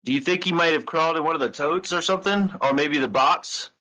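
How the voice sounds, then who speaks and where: A man with a fairly high, bright and forceful voice on a recorded phone call. He questions the other person haltingly, repeating and rephrasing as he coaxes out answers. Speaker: caller questioning the other speaker. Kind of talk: tense private phone conversation, questioning.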